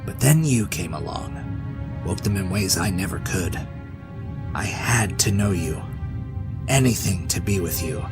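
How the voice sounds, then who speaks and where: A man playing a wistful, obsessive former supervillain, delivering a deliberate dramatic monologue in a comic fan dub. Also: sits low. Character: reformed male supervillain, brooding, obsessive, wistful.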